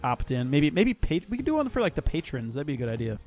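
A man's voice: a little high, big pitch swings, fast talker, a little mellow, faint music behind. A young man co-hosting a podcast, goofy and joking, laughing often. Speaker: podcast co-host. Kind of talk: casual podcast chat.

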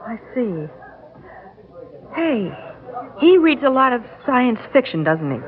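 A woman's voice: on the high side, very animated, slow. An actress in a classic radio drama playing a flustered, skeptical young working woman, hesitant and stammering. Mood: distracted, uneasy. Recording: tinny, noisy vintage radio recording.

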